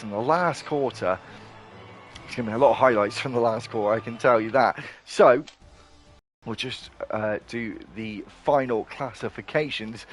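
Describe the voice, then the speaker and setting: A man giving live play-by-play commentary on an online racing league, excitable and quick to correct himself. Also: medium pitch.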